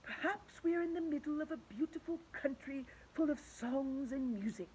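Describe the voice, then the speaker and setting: A woman voicing an old male grasshopper who leads the group, speaking gently and reassuringly in a storybook. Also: sits high, moderate pace, a little hiss or hum, voice pushed up, close to an everyday voice, rough recording. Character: old male grasshopper, kindly leader, trying to be cheerful.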